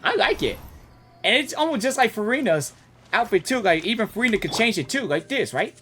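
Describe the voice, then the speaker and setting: A woman's voice: on the high side, very animated, fairly quick. A woman streamer giving casual live reaction commentary on a game, repeating herself as she reacts aloud. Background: game music.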